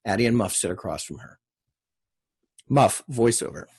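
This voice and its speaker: A man's voice: fairly low pitch, lively intonation, normal speed, darkish tone, husky. A man narrating screen directions as a reader at a TV drama table read.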